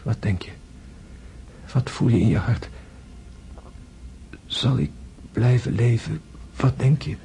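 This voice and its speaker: An actor playing a gravely wounded prince in a radio drama, tender and philosophical, speaking in hushed, halting, weakened tones. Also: male voice, medium pitch, slight background noise. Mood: tender, yearning. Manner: hushed, halting, intense delivery, often whispering. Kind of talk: Dutch radio drama acting.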